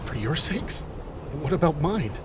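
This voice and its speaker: A man's voice: on the high side, big pitch swings, measured pace. An actor playing a bitter, weary young man in an audio drama, sarcastic, confrontational and brooding. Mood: bitter sarcasm. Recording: noisy subway train ambience.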